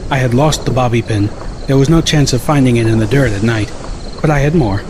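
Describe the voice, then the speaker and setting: Guy reading a story audiobook, medium pitch, first person narration, noticeable noise behind him. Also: fairly quick.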